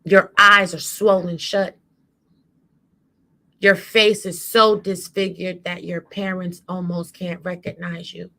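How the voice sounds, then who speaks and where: Slow, emphatic woman delivering spoken word. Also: on the low side, little pitch movement, pushed and bright. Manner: dramatic, emphatic, deliberate phrasing. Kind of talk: impassioned spoken-word monologue.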